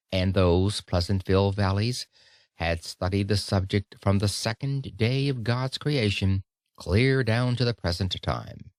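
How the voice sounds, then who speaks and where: A man reading an audiobook of a speech in an expressive storytelling manner, with dramatic pauses. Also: on the low side, dry recording, studio quality. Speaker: audiobook narrator. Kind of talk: scripted audiobook narration of a speech.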